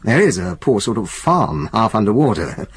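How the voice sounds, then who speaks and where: A hearty, commanding old-school firm boss in a radio play. His husky voice leaps around freely as he chuckles and barks orders. He is bluff and brisk throughout. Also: lowish voice, sing-song, exaggerated voice. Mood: measured. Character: jovial brisk older male firm head. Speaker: senior partner of a law firm. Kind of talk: radio drama acting.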